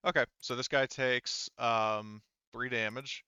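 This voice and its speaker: Bright, clear-voiced man running a game. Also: mid-range voice, steady tone, slow pace, no room sound, highs cut off. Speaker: game master. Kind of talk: running a tabletop roleplaying game, casual.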